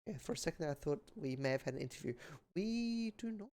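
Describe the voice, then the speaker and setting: A man's voice: fairly high pitch, animated, quick pace, a little mellow. Male esports caster, slightly strained voice. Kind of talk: live game commentary and sign-off.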